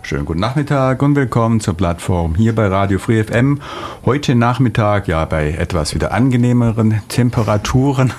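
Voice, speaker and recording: Halting low-voiced man hosting radio. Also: lively intonation, a little mellow, slight background noise. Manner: halting, long winding sentences. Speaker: radio host. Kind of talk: live studio radio interview. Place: radio studio. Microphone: studio microphone.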